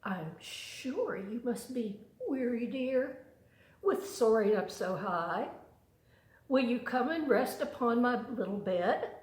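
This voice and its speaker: Storybook spider, male and cunning, played by a woman: sugary flattery, slow and very sing-song, roomy sound. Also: on the high side. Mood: coaxing, inviting. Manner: coaxing, sugary, drawn-out phrasing. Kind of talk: storybook poem read aloud.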